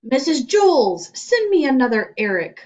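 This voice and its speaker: A woman voicing a menacing male school principal from a children's storybook, with barking, deliberate, intimidating questioning, in a dull lo-fi recording. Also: high-pitched, sing-song, darkish tone, recorded from a distance, voice pushed up. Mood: stern. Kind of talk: children's storybook read-aloud.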